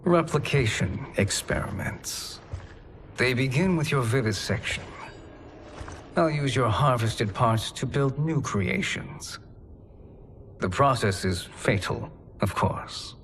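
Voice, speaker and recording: Slow, menacing sorcerer villain. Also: male voice, mid-range voice, animated, a bit bright, slight background noise. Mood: cold, menacing. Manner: menacing, formal, deliberate. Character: sinister sorcerer villain, cruel and smugly confident. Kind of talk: dramatic video game voice acting.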